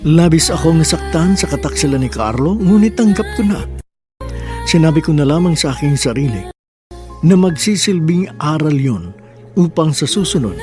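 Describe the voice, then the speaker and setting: Casting: male host for sentimental radio drama, higher-pitched, reading narration over music. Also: expressive, moderate pace, a little mellow.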